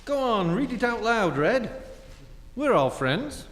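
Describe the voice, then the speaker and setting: A man acting a wry, easygoing, needling gentleman in a 1940s-style radio comedy, dry and teasing. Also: very high-pitched, big pitch swings, slow, full character voice. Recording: echoey hall, live stage reenactment.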